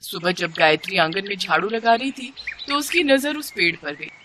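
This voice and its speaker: Expressive woman narrating a bedtime story. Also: mid-range voice, normal speed, background noise.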